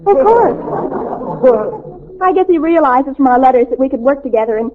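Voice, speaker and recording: High-pitched, gushing teenage girl. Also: animated, normal speed. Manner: gushing, flustered, melodramatic. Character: gushing, dreamy, star-struck teenage girl. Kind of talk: 1940s radio comedy acting. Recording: old narrowband radio recording.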